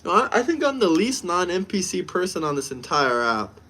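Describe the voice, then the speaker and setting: A brash man from the United States chats on a live stream with a fairly high voice. He talks emphatically and repeats his points for effect. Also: big pitch swings, normal speed, bright, forceful tone, slight background noise. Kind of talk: casual live-stream chat.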